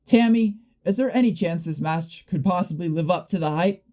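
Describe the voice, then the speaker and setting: Mellow-toned excitable sports announcer, played by a young woman. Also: fairly low pitch, normal speed, lightly acted. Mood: showy, announcing. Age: young adult. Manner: dramatic, announcer-like emphasis. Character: male sports broadcaster, excitable play-by-play announcer. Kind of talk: parody live sports commentary in a radio play.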